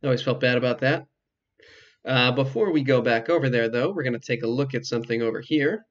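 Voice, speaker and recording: A man giving casual, explanatory let's play commentary, with hesitant pauses as he goes. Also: mid-range voice, muffled recording. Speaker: video game player and commentator.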